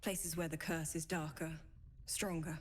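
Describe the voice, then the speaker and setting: An actress playing a devout, spirited young cleric in a video game cutscene, speaking in an earnest, articulate and gently formal way. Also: female voice, low voice, flat delivery, measured pace. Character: devout, eloquent young female cleric, gracious but spirited.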